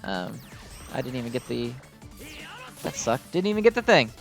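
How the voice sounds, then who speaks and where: A man's voice: mid-range voice, big pitch swings, moderate pace, gravelly, fairly bright tone. Rambling man over game music. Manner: rambling, self-deprecating, informal fan talk. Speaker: gaming video host. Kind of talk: casual unscripted gameplay commentary.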